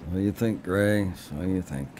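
A man's voice: low-pitched, unhurried, over music. A man with an American accent acting a proud, cynical, stubborn older brother in a first-person audio drama. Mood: skeptical. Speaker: actor narrating and playing the lead.

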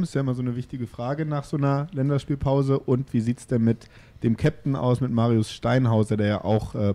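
A man's voice: mid-range voice, warm tone. A man, a journalist, asking direct questions from the audience into a handheld mic.

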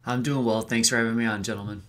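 A man's voice: mid-range voice, fairly even intonation, fast, a bit bright. A man giving conversational sports analysis as a podcast guest.

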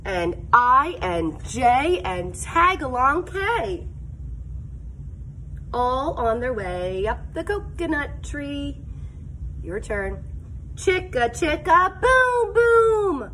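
A middle-aged woman teacher reading a picture book aloud to young children, expressive and sing-song, inviting them to join in. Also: high voice, very slow, slight background noise, rough recording. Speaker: elementary teacher. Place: school classroom, indoors.

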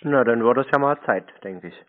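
A man's voice: medium pitch, a little fast. A man talking on a phone call in a dry, stubborn, provocative way, asking pointed questions. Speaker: scambaiter taking scam calls. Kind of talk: unscripted phone conversation. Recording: narrowband, dull phone-call sound.

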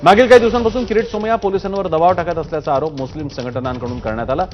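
A man's voice: fairly high pitch, rapid-fire. A man working as a news anchor, reading scripted news narration. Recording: muffled broadcast audio with music bed. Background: news music.

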